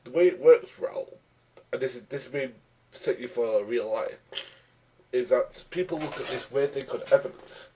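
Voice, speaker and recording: A young man with a cold, hoarse and argumentative, arguing with another video and often restarting his sentences. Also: medium pitch, moderate pace, mellow, recorded from a distance, slight background noise, poor audio. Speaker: YouTube commentator. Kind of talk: casual rebuttal vlog.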